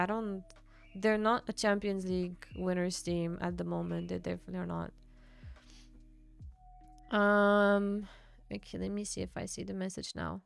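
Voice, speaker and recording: A woman's voice: mid-range voice, vocal fry. A young woman from Bulgaria, chatting casually with her livestream viewers and answering chat. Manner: chatty, reads and answers chat, quick laughs. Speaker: streamer.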